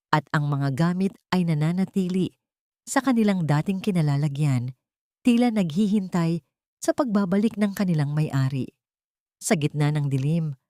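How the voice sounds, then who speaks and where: A woman reads a scripted dramatic story as its narrator. Her voice is on the lower side for a woman, and the recording is dry with very little room sound. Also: a little mellow, dry recording.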